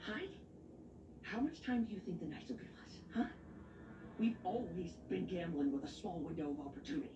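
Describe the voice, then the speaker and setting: A woman's voice: fairly low pitch, fairly quick, natural voice. A woman voicing an intense, impatient conspirator in a dramatic video game scene. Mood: tense, scornful. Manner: forceful, impatient, insistent. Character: intense, driven conspirator urging on a risky experiment. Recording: roomy, distant, noisy game capture.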